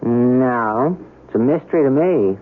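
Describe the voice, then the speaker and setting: A man's voice: medium pitch, expressive, unhurried, natural voice. An actor playing a cool, persuasive studio man advising a film star, in old-time radio drama style. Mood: matter-of-fact. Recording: noisy, narrow-band old radio broadcast.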